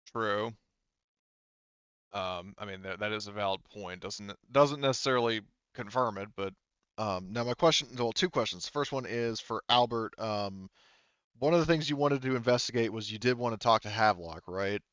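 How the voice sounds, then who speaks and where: A man narrating a tabletop roleplaying game as game master, in a halting, rambling way, thinking aloud as he goes. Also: on the low side, fairly bright tone, clean tone, no echo, dull audio.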